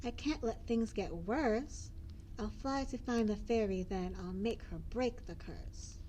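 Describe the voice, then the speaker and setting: Woman as a high-voiced, earnest teenage heroine. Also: measured pace, mellow, a little hiss or hum, close to an everyday voice, low-quality recording. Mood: determined. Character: brave, bookish, kindly fifteen-year-old girl heroine.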